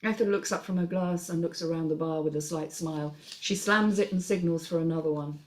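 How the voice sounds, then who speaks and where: Low-voiced woman narrating a screenplay. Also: steady tone, fairly quick, poor audio. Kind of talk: scripted narration, reading stage directions.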